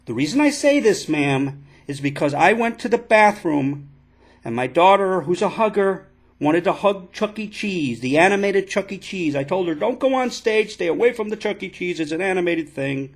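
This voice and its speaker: A man acting as an aggrieved father with a high-pitched voice. He complains insistently and indignantly, stumbling and repeating phrases as he presses his absurd grievance in a prank call. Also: animated. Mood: earnest, aggrieved. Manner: halting, repetitive, insistent, addressing listener as ma'am. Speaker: prank caller.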